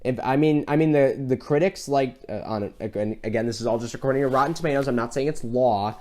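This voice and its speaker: A man's voice: medium pitch, quick pace, warm tone. A man with a mid-range voice co-hosts a casual film talk. He is earnest and enthusiastic, and his delivery wanders in a loose, conversational way. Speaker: podcast co-host.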